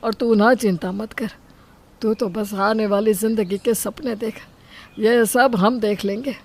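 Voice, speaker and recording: A woman acts out a middle-aged father of a bride in a dramatized story. The voice sits at a medium female pitch, meek, deferential and soothing. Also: fairly even intonation, unhurried. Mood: reassuring. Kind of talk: acted Hindi audio story.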